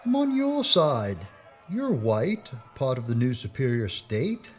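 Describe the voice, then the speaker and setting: An actor as a gloating, murderous police villain, swooping delivery. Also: male voice, medium pitch, wildly swooping pitch, takes it slowly, darkish tone, highs cut off, faint music behind. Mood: alarmed, pleading. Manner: gloating, menacing, theatrical. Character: murderous British police chief inspector, secret Nazi collaborator. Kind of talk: radio drama acting.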